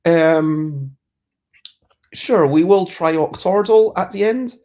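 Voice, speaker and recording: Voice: mid-range voice, slow, mellow. A streamer with a stuffy cold, musing in casual rambling chat and reading out viewer comments. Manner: musing, easygoing, self-interrupting. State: congested with a cold. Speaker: streamer hosting a chat show.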